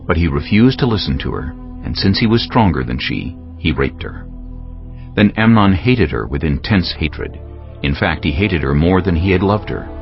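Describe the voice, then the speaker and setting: A man's voice: low voice, lively intonation, moderate pace. A man solemnly narrating a dramatized scripture story from a script. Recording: slightly dull audio with music bed.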